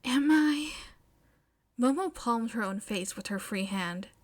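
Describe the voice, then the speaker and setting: A woman's voice: mid-range voice, moderate pace. A woman reading scripted fiction as a story narrator. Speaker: podfic narrator.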